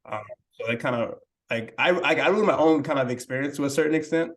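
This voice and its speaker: A young man talking casually as a podcast guest, softly and hesitantly, with many fillers and restarts. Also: mid-range voice, quick pace. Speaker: podcast guest, language learning creator. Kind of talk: casual interview conversation.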